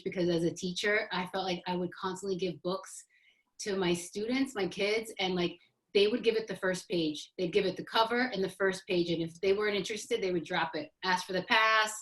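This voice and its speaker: A woman's voice: lowish voice, fairly even intonation, brisk, fairly bright tone, low-quality recording. A woman from the Boston area speaking as an author on a panel, conversational, stressing points by repeating phrases. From Boston area, United States.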